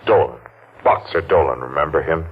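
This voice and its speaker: A very deep-voiced taunting avenger. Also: male voice, a little hiss or hum. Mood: menacing. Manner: taunting, slow and deliberate, laughs mockingly. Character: menacing masked man, vengeful survivor. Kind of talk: 1940s radio acting. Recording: muffled old radio recording.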